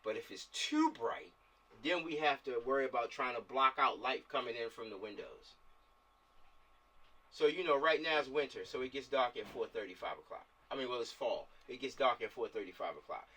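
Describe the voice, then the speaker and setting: Casting: American man, bright voice, casual director type who repeats himself, slightly distant video-call sound. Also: mid-range voice, lively intonation, moderate pace. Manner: repeats himself, often seeks agreement. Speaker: film director organizing a shoot. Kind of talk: casual planning chat on a group video call.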